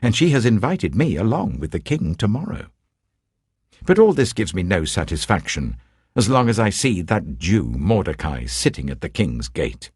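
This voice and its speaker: A man's voice: sits low, sing-song, normal speed, a little mellow, slightly rough, no room sound, clean studio sound, exaggerated voice. A British man voicing a boastful, resentful court official in an audiobook, pompous and emphatic. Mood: boastful, bitter.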